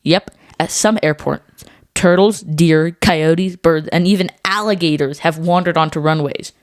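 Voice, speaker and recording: A woman co-hosting a scripted educational podcast for children, explaining things clearly. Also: deep voice, unhurried, no echo, studio quality. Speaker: podcast co-host.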